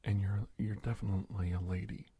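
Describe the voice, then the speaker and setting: A man playing a calm, reassuring government agent in a soft-spoken ASMR roleplay, breathy and gravelly, hesitant and gentle, often dropping toward a whisper. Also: lowish voice, a little fast, slight background noise, lightly acted. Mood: gently persuasive.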